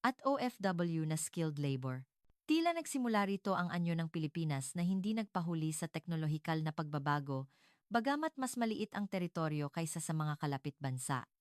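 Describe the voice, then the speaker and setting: A woman narrates a scripted dramatic story in the first person. Her voice is smooth and a little low for a woman. She speaks formally and earnestly, in a close, dry recording. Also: dry recording.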